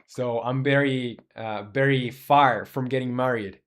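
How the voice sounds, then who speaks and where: Man, 20s, mid-pitched, casual live-stream developer, rambling and filler-heavy, thinks aloud. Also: steady tone, measured pace.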